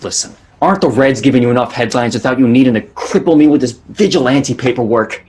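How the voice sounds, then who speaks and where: An actor playing a jaded, irritable police detective in a fan-made drama dialogue. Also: male voice, mid-range voice, fast talker, darkish tone, a little hiss or hum, lightly acted. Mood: exasperated.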